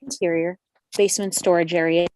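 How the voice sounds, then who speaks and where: A woman's voice: on the low side, flat delivery, fairly quick, a little mellow, a little hiss or hum, rough recording. A woman reading screenplay action lines as scripted narration in a live table read. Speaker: reader of screenplay stage directions.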